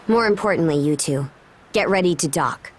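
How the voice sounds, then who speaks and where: An actress playing a frank, capable young daughter of a seafaring chief in a video game, casual and matter-of-fact. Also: female voice, on the low side, slight background noise, lightly acted. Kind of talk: video game cutscene acting.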